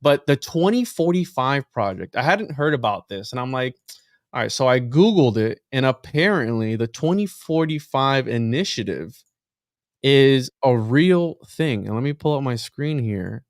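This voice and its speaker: A man's voice: medium pitch, animated, moderate pace, no echo, studio quality. A man hosting a podcast in casual talk, reading messages aloud and addressing listeners informally and directly. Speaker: podcast host.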